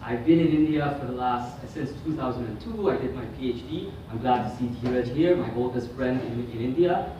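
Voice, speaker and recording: A middle-aged man from Guyana delivers a conference lecture, stopping often with ums and restarting his sentences. He is recorded in a very echoey hotel hall from some distance, and room noise stays clearly audible behind him. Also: mid-range voice, mellow, dull audio. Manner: halting, many ums and restarts. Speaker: guest lecturer.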